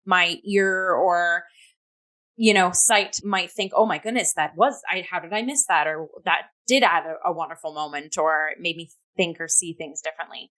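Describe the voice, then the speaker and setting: Female talk co-host, slightly low register, effusive and halting, conversational reviewer. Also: fairly even intonation, a bit bright. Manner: effusive, halting, heavy use of "like". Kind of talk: casual review chat.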